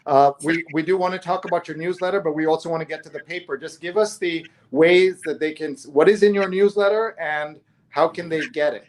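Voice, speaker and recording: A man with a slightly high voice hosts a casual interview. He speaks haltingly, repeating the openings of his phrases. There is faint noise behind him. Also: fairly even intonation. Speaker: talk show host interviewing a guest. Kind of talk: conversational interviewing.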